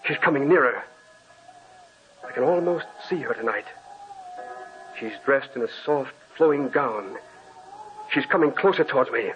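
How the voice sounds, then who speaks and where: An actor playing a haunted, sleepless man losing his mind, speaking with feverish, halting repetition in old-time radio horror style. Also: medium pitch, expressive, soft background music, lightly acted. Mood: frantic terror. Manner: intense, halting, repeats words feverishly. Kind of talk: 1940s radio horror acting. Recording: muffled old radio recording.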